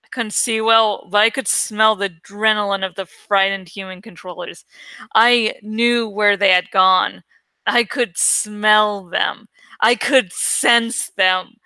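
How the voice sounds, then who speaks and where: A woman reading aloud as an amateur audiobook host, expressive and informal in her delivery. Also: mid-range voice, slow pace, clear, resonant tone, dry recording. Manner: informal, self-correcting. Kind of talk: expressive story narration with casual asides.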